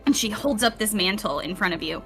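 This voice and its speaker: Casting: female tabletop game master, medium pitch, sharp clear-cut tone, storyteller's theatrical narration. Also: animated, fairly quick. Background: music.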